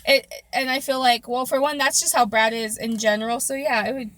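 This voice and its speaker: Giggly high-voiced woman, hesitant chat. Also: fairly even intonation, a little fast, fairly bright tone, slight background noise. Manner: giggly, hesitant, many fillers. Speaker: podcast guest. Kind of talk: casual chat.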